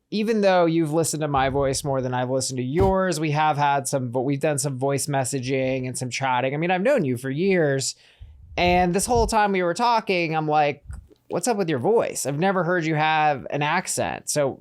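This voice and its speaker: A man's voice: fairly high pitch, moderate pace. A man hosting a call-in podcast, joking with his guest and laughing easily, then reading a post aloud. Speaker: podcast host.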